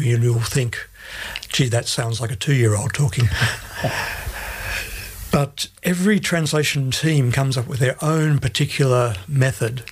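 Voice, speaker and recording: Husky Australian man explaining methodically. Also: mid-range voice. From Australia, grew up in Sydney. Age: middle-aged. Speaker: interview guest, Bible translation advisor. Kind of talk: explanatory interview answers.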